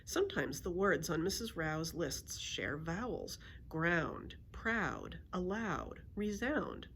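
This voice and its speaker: A woman's voice: on the low side, animated, slow, fairly bright tone, slight background noise. A woman reading a children's book aloud as a storyteller, expressively acting out the dialogue.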